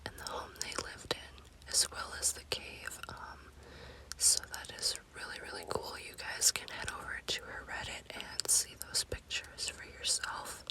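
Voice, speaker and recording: A woman whispering in a hushed, unhurried manner as an ASMR storytelling host. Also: poor audio.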